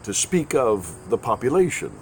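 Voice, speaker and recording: A man hosting his own show, talking in a folksy, sarcastic, rambling way, raspy from a head cold. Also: medium pitch, big pitch swings, normal speed, soft background music. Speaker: host. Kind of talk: casual spoken commentary.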